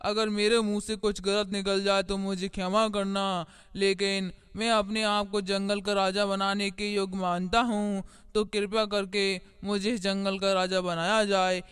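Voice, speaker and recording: A man's voice: high voice, little pitch movement, unhurried, hardly any pauses, a bit bright, smooth. A man voicing a boastful, scheming deer in a cartoon fable, pushy and self-important. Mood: boastful, insistent. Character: boastful, scheming male deer rival for the throne. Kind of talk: animated moral story acting.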